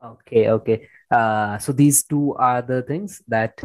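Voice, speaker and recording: A man's voice: medium pitch, steady tone, a little slow, no echo. A man hosting a conversational interview, often repeating his words and phrases as he goes. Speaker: podcast host interviewing a guest.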